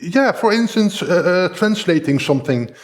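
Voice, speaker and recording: A man's voice: high voice, expressive, moderate pace. A man with a Dutch accent, a non-native English speaker, explaining cybersecurity matters in casual conversation with frequent fillers. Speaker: cybersecurity researcher guest. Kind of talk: conversational discussion.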